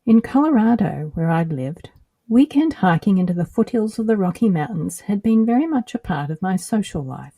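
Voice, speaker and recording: Australian woman, dark husky voice. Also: mid-range voice, animated, normal speed, no room sound. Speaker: podcast host. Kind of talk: scripted solo podcast narration.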